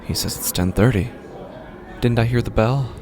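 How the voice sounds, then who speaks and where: A man narrating a first-person horror story in a halting, uneasy way, often breaking off mid-sentence, over a music score. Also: medium pitch, darkish tone. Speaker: podcast narrator.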